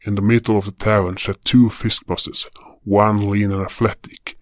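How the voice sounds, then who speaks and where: A man's voice: on the low side, little pitch movement, normal speed, no room sound, clean studio sound, natural voice. An actor playing an indignant, impulsive frost guardian beast, telling his fantasy tale in a dramatic, grumbling manner. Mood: brooding, wry. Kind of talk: first-person fantasy story narration and dialogue.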